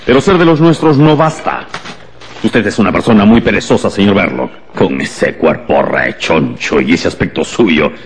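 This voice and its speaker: An actor playing a haughty, contemptuous embassy official in a radio drama, emphatic and hectoring. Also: male voice, deep voice, animated, normal speed. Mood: cold disdain. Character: imperious, contemptuous embassy official, pale and melancholy. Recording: long hall reverb, music underneath.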